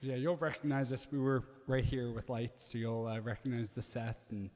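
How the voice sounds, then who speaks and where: A man co-hosting a music livestream from home, chatting in a relaxed, easygoing way that often trails off. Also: medium pitch, moderate pace. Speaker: duo partner and co-host. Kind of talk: casual chat between songs. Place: home studio set. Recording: echoey room with long reverb.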